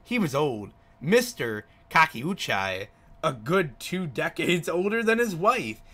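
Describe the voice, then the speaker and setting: A man voices a chatty male driver from a visual novel in a bright, lively voice. He is gossipy, a bit sheepish and rambling, and he trips over the odd word. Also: a little high, takes it slowly, heavily acted. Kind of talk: reading visual novel dialogue aloud.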